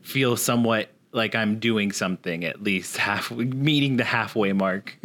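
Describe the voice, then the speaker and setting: A man's voice: fairly low pitch, a little slow, fairly bright tone. A man hosting a podcast, talking with guests in a friendly, enthusiastic way. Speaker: podcast host. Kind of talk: casual interview chat.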